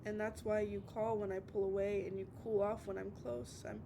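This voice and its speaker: A woman's voice: level tone, gravelly, natural voice. A woman playing an obsessive, heartbroken young woman on a late-night call, stammering and repeating herself in a dramatic audio roleplay monologue. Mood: weary, firm. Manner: halting, stammering, repeating words. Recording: noisy with low rumble.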